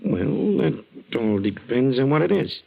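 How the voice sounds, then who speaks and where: An actor playing a sly, smug, threatening creditor with a gravelly, raspy voice in a 1940s radio crime drama. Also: male voice, mid-range voice, very animated, a little slow, full character voice. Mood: smug, menacing. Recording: dull, lo-fi 1940s radio recording.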